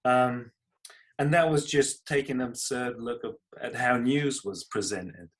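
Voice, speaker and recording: A British man with a mid-pitched voice talks in a casual interview. He comes across as modest and self-deprecating, explaining things in a conversational way as the guest. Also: measured pace, fairly bright tone. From United Kingdom. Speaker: podcast guest, comedy writer and creator.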